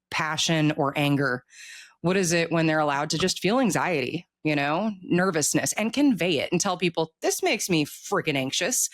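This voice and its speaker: A middle-aged author speaks in a casual interview, with a medium-pitched voice. The delivery is candid and talkative, with informal, emphatic phrasing.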